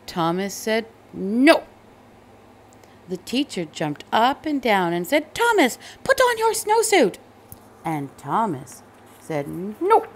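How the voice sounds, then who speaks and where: Animated, high-voiced woman reading a children's story. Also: slight background noise. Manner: deliberate, dramatic emphasis on key words. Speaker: storybook reader. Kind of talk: expressive children's read-aloud narration.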